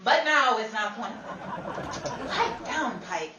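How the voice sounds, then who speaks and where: Woman as a brassy, high-voiced 1930s showgirl. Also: animated, slow pace. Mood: wisecracking. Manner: theatrical, slangy, mock-indignant. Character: sassy, brassy, flamboyant Broadway showgirl, fast with wisecracks. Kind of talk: 1930s radio comedy acting. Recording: roomy, distant, noisy recording with crowd.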